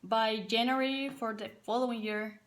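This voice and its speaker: A woman's voice: a little high, fairly even intonation. A young woman from Paraguay, a Spanish speaker with a Paraguayan Spanish accent, a college soccer player giving an earnest, careful prepared personal speech. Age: young adult. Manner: earnest, careful and measured, with some non-native phrasing.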